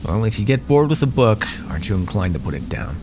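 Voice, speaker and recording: A man's voice: on the low side, very animated, quick pace, noisy background. A man voicing a bored, teasing, menacing naga predator in an ASMR fantasy roleplay, speaking with casual, dry condescension. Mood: teasing menace. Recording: echoey, long cave-like reverb.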